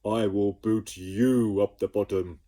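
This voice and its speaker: A male teacher voicing a playful, obliging slime creature in a children's storybook reading, drawn-out and gleeful. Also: lowish voice, steady tone, dark tone.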